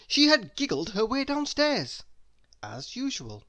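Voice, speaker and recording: A woman reading a children's story aloud, expressive and deliberate, with dramatic emphasis. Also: on the high side. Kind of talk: children's read-aloud narration.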